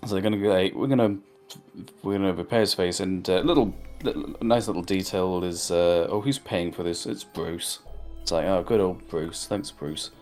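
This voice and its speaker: A man's voice: low-pitched, normal speed, darkish tone, soft background music. A man giving his opinions in a casual, rambling conversational review as a co-host. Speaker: co-host of a cartoon review show.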